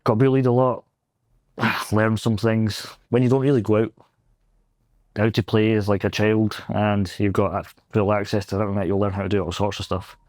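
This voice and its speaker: A man's voice: lowish voice, little pitch movement, normal speed, darkish tone, dry recording. A young Scottish man with a Glaswegian accent, chatting casually and self-deprecatingly as an interview guest. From Scotland. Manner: rambling, self-deprecating, laughs often. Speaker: pentest engineer guest. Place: convention floor.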